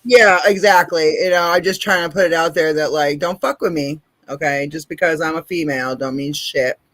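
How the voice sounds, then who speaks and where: Deep voiced woman hosting a chat, blunt, swears a lot, laughs a lot, dry close sound. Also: moderate pace, dry recording. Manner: blunt, profane, laughs often. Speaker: podcast host. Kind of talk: casual interview chat.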